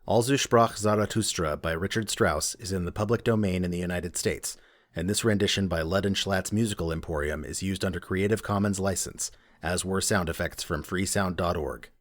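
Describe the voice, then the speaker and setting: A man's voice: sits low, moderate pace, dry recording. A man giving scripted narration as an announcer.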